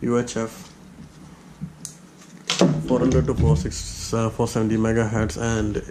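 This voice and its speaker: A man giving an informal, step-by-step gadget demonstration in a hesitant, halting way, repeating his steps. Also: lowish voice, flat delivery, heavy background noise. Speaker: tutorial presenter.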